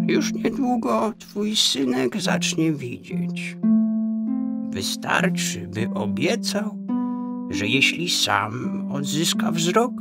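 Mysterious wandering prophet in a radio play, solemn, gravelly, super slow, music underneath. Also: mid-range voice, full character voice. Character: mysterious wandering stranger bringing comfort and prophecy. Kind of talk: children's radio play acting.

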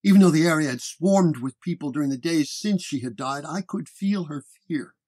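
Male narrator, slightly high-pitched, measured delivery for a first-person noir horror story. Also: darkish tone, breathy edge.

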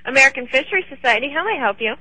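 Fast-talking woman on a noisy phone line. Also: sits high, lively intonation. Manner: patient, brief, courteous. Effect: telephone. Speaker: receptionist answering phones. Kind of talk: polite customer-service phone talk. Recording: noisy telephone line with clicks.

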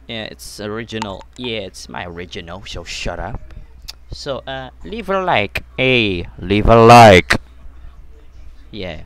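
A young man casually commenting while playing a social VR game, joking, laughing and breaking into loud outbursts. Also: on the low side. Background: game music.

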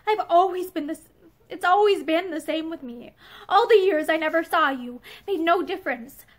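A woman reading aloud, voicing a grim, reserved man who speaks tersely and quietly. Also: extremely high pitch, very animated, fairly quick. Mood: quiet tender.

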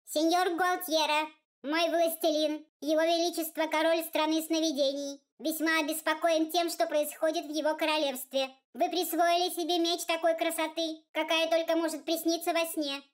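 Storybook role: tiny pompous male courtier played by a woman, extremely high piercing squeak, formal rapid patter. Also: level tone. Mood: officious, indignant. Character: tiny pompous bearded male envoy of the dream king. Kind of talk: storybook character voice.

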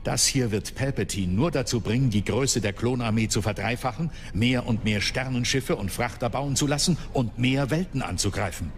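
Man playing a sober, mid-pitched warrior monk. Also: moderate pace, few pauses, soft background music, lightly acted. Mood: serious. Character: serious, concerned male warrior-monk officer. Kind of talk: German radio drama acting.

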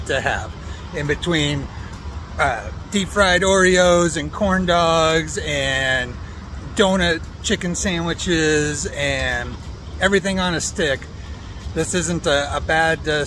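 A middle-aged American man reviewing food casually to camera in a halting, easygoing, understated way, recorded outdoors on a phone. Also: on the high side, fairly bright tone. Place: outdoors at a fairground. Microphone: phone at arm's length. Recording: outdoor phone recording with ambient fairground noise.

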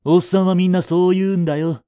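An actor playing a jealous, hot-tempered teenage male delinquent student in a romantic audio drama, talking in rough slang. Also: high voice, fairly even intonation, fast talker, dark tone, no echo, studio quality, close to an everyday voice. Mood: angry, defiant.

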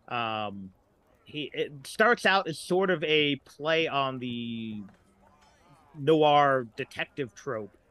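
A man's voice: on the high side, bright, forceful tone. A man talking casually as a podcast guest, informal, with trailing asides. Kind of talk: casual chat.

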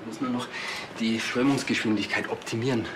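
An actor with a Bavarian accent playing a proud, obsessive rural tinkerer, boastful and earnest. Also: male voice, mid-range voice, steady tone, fairly quick, noisy background, natural voice. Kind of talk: TV crime comedy acting.

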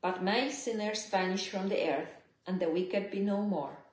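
A middle-aged woman gives a measured, plain reading of a Bible passage in a living room. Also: mid-range voice, a little mellow. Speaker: scripture reader. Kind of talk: read-aloud Bible passage. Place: living room, seated indoors. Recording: roomy indoor home recording.

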